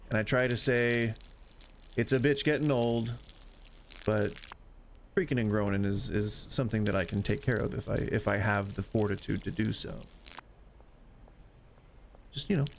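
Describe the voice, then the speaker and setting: Gravelly rambling man in his forties. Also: mid-range voice, moderate pace, pauses often, a little hiss or hum. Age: 40s. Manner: rambling, self-deprecating, chatty. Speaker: gaming streamer. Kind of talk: casual gameplay chat.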